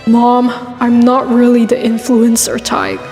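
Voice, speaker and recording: A woman's voice: on the high side, level tone, measured pace. A woman voicing an earnest, studious young princess pony with a preoccupied, casual manner. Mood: puzzled, focused. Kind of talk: cartoon voice acting in a comic dub. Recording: roomy reverb, music underneath.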